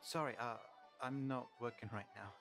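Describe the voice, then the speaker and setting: A man playing a polite, awkward young human in an audio roleplay, halting and apologetic. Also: mid-range voice, steady tone, a bit bright, natural voice. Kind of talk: audio roleplay drama acting. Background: music.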